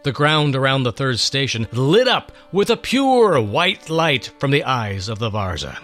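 A man's voice: medium pitch, big pitch swings, measured pace, fairly bright tone, faint music behind. A man narrating a scripted story as host, in a theatrical, literary delivery.

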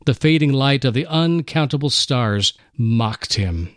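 A man narrates a story in a theatrical, expressive way, his pitch rising and falling a great deal. The sound is dry and close with no room around it. He reads as co-host of a radio program. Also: measured pace, no room sound. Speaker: co-host, dramatic reader.

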